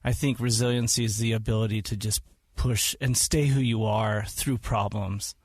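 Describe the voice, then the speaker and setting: A man's voice: on the low side, fairly even intonation, moderate pace, dry recording. Plain-spoken man, dry close sound. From Hawaii, raised in Utah. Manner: plain-spoken, with frequent ums and restarts. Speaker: podcast guest. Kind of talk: casual interview talk.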